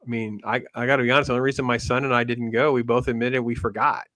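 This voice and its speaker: A man's voice: medium pitch, level tone, fast, pushed and bright, dry recording. Middle-aged man, casual panel guest, wordy informal explainer, dry close sound. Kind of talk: casual chat.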